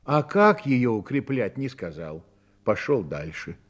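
A man narrating a Soviet radio drama as a sober, measured, reflective storyteller of a detective tale. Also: mid-range voice, very animated.

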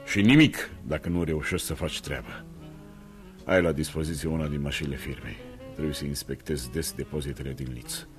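An actor in a radio play playing a stern, blunt older businessman and protective father-in-law, speaking in a commanding, deliberate manner. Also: extremely low pitch. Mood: brusque, businesslike. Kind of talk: radio theatre acting. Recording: music behind a radio play.

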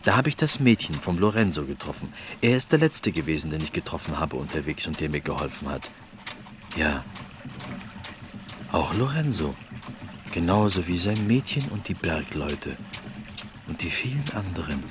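An actor playing an earnest, persistent village potter, pleading and insistent, in a German radio play with background noise. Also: male voice, deep voice, sing-song, moderate pace, long pauses.

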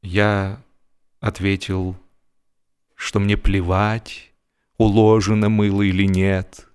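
A man narrating humorous literature expressively, with a wry, self-important theatrical delivery and dramatic pauses. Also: on the low side, level tone, darkish tone, smooth. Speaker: audiobook narrator and actor.

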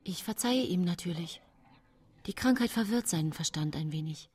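An actress playing a seemingly pious, gentle yet secretly cold 19th-century housewife, confiding her story in a measured radio drama monologue. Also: lowish voice, lively intonation, slightly rough. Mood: plaintive. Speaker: actress narrating in a radio play. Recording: music bed behind studio voice.

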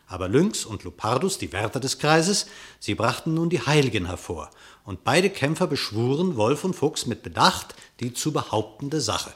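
A man narrating a classic radio play in literary verse, telling the story in a measured, formal way. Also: mid-range voice, very animated, a bit bright.

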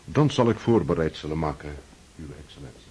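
An actor playing a loyal, deferential old male servant, speaking slowly and humbly. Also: rumbling low, animated, a little mellow, slightly rough, exaggerated voice. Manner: respectful, slow, humble. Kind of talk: radio drama acting.

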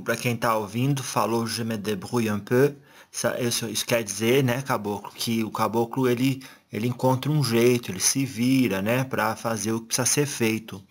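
A male interviewer asking his questions in a relaxed, rambling way, with lots of fillers and restarts. His pitch sits squarely in the middle. He sounds conversational and unscripted. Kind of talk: casual spoken questions.

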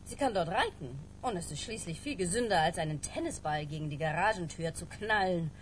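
A woman's voice: fairly low pitch, lively intonation, brisk, a little hiss or hum, close to an everyday voice. An actress playing a middle-aged mother in a radio drama, flirtatious and gushing, with coy, theatrical, teasing delivery. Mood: airy, chatty.

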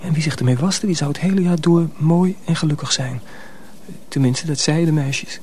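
An actor playing a naive, earnest teenage apprentice in a Dutch radio drama, with an eager, youthful manner. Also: male voice, fairly high pitch, moderate pace, dark tone, husky. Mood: wistful, reminiscing.

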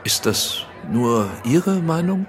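An actor playing a proud, troubled middle-aged film director in a German radio drama, hesitant and intense, often dropping to a murmur. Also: male voice, a little high, very animated, slow, soft background music, a faint crowd. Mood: taken aback.

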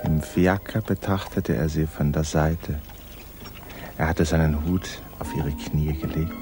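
A man narrating a literary 1950s radio drama in a measured, hushed storytelling manner. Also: extremely low pitch, steady tone. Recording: 1950s radio recording, faint music.

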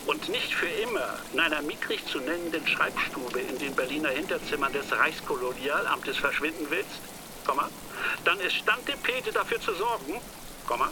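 A man acts a stern colonial official in a radio drama. The voice is fairly high and very animated as it dictates with officious exasperation. Clear ambient noise sits behind it. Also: close to an everyday voice. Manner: speaks punctuation aloud, officious.

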